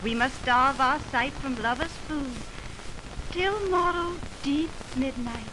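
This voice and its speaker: Young female lover, devoted and earnest, high-pitched, stagey verse for vintage radio drama with heavy surface noise. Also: slow. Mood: tender. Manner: declamatory verse delivery. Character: Hermia, lovestruck young Athenian woman, earnest and devoted. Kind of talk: 1920s radio Shakespeare acting. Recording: noisy 1929 radio aircheck on film.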